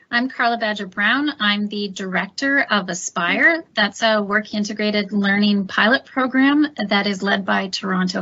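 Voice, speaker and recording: A woman's voice: medium pitch, a bit bright, highs cut off. Businesslike woman program director.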